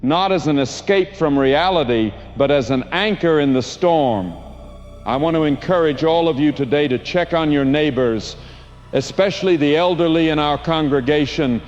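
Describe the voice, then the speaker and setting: A man's voice: on the high side, moderate pace, darkish tone, natural voice. A man playing an earnest, reassuring church pastor delivering a sermon. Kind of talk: sermon acting. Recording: reverberant dull church sound, faint music.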